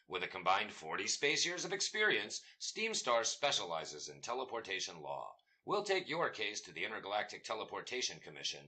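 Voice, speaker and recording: Man as slick legal commercial announcer: low, forceful, earnest salesman, lively inflection. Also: heavily acted. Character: slick earnest lawyer-ad announcer in a sci-fi parody. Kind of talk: parody commercial voice-over.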